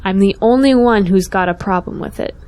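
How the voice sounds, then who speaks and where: A woman's voice: mid-range voice, a little fast, darkish tone, close to an everyday voice. A young woman playing a principled, outspoken schoolteacher in an audio drama, earnest and pointed. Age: young adult.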